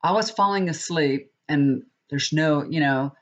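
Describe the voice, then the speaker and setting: A woman's voice: sits low, measured pace. Middle-aged woman, sniffly with a cold, rambling casual vlog chat, dull lo-fi sound. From Texas. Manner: chatty, rambling, with many restarts. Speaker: tarot reader. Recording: dull lo-fi recording.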